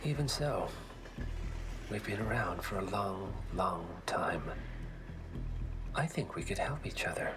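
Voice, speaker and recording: An actor playing a smug emissary of a secret organization in a video game, measured and quietly threatening, with breathy, hushed phrase endings. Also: male voice, deep voice, lively intonation. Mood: quietly menacing. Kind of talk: cinematic video game dialogue. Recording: music bed under the voice.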